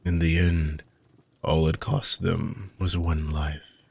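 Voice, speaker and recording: A man playing an ancient, weary dark mage villain in a fantasy audio roleplay, husky and gravelly, speaking theatrically with long dramatic pauses. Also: very deep voice, level tone, heavily acted. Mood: brooding, bitter. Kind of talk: fantasy audio roleplay monologue addressed to listener.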